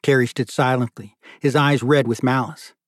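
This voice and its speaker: A man's voice: medium pitch, fairly even intonation, moderate pace, dry recording, studio quality. A man reading literary fiction as an audiobook narrator, in scripted storytelling prose.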